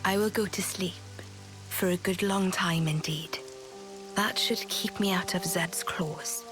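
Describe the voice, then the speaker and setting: Regal, weary queen for a fantasy game, acted by a woman: low register, unhurried, grave and calm. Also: over music, natural voice. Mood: solemn, weary. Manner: measured, grave, hushed. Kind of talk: video game cutscene acting.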